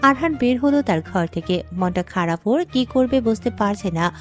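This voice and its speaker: A woman's voice: a little high, lively intonation, normal speed, soft background music. A woman narrating a scripted dramatic story as its storyteller.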